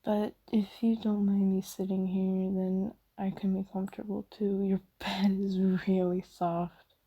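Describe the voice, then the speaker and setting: Kindly female demon played by a woman for ASMR comfort roleplay: very dark, soft tone, slow, hesitant, reassuring. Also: medium pitch, little pitch movement, muffled recording. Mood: shy, gentle. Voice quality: soft, breathy, half-whispered. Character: kindly apologetic female sleep paralysis demon, gentle comforter.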